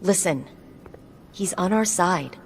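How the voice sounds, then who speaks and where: An actress playing a confident young warrior and tech expert in a video game, talking in an earnest, informal, conversational way. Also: female voice, fairly low pitch, expressive, takes it slowly, slight background noise. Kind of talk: scripted video game dialogue.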